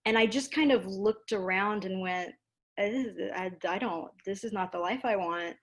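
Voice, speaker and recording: An American woman being interviewed, speaking in a casual, animated storytelling way with self-deprecating asides. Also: medium pitch, moderate pace. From Louisiana, United States. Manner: animated, self-deprecating, acts out quoted exchanges. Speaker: interview guest, theater dramaturg and producer.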